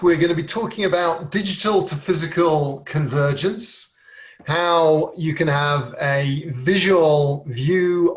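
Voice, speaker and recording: A man hosting a tech podcast, welcoming guests and asking questions in an upbeat manner. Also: fairly high pitch. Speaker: podcast host. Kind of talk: podcast interview.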